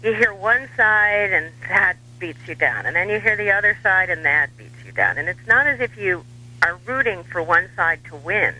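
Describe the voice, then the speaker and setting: An American man giving political commentary as a radio guest, wry and dryly humorous, with measured hesitations. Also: high voice, expressive, bright, forceful tone, rough recording. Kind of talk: talk radio interview.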